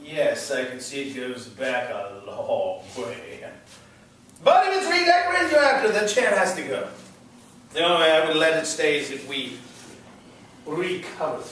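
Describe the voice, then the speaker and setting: A man's voice: a little high, wildly swooping pitch, normal speed, fairly bright tone. Man reading a fussy interior decorator in echoey room. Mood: huffy, insistent. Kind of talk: script read aloud at a play reading. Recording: echoey room, far from mic, faint noise.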